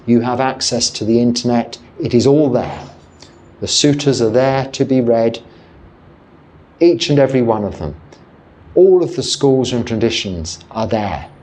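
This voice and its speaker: Calm, measured English monk. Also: male voice, mid-range voice. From England. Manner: calm, measured and reflective, with pauses and self-corrections. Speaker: Buddhist monk. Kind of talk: answering a viewer's question, guided meditation.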